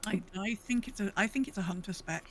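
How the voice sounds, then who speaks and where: A woman's voice: mid-range voice, a little fast, no room sound. A woman co-hosting a podcast, chatting casually and reading out listener comments. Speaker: podcast co-host.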